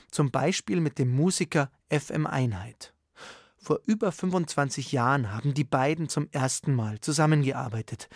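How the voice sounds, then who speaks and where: A man hosting a radio arts magazine, presenting from the studio with smooth, scripted links between segments. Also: on the high side, no echo. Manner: smooth, linking announcements.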